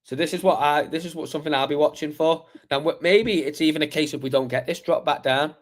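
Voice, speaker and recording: A man hosting a crypto livestream with a slightly croaky voice, talking through charts in a chatty, rambling way with many fillers and restarts. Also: a little high, quick pace. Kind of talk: casual live chart analysis.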